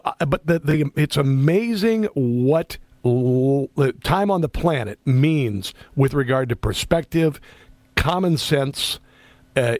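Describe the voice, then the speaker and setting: Chummy, rambling talk radio host. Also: male voice, medium pitch, a little mellow, no echo. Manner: rambling, chummy, laughs often. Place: radio studio. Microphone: studio microphone.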